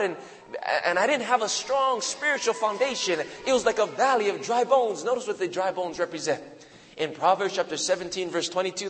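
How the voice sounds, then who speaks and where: A man in his thirties from Hawaii preaches a sermon woven with personal testimony. His voice sits high for a man, yet he lets it sink low and quiet on key phrases, pausing for weight. A long reverb trails every word in the church hall. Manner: slow, dramatic pauses with deep drops for emphasis.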